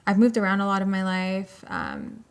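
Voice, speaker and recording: Creaky-voiced woman chatting casually. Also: medium pitch, huge pitch swings, moderate pace. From born in Iraq, grew up in England and Canada. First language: Arabic. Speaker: podcast guest. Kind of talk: casual chat.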